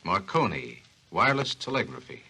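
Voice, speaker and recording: A man's voice: low-pitched, big pitch swings, normal speed, noisy background. An American man playing a curious, earnest interviewer and host in a scripted 1940s radio program. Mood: attentive. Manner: earnest, folksy questioning. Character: eager, curious male interviewer, easily impressed. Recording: rough 1940s radio recording.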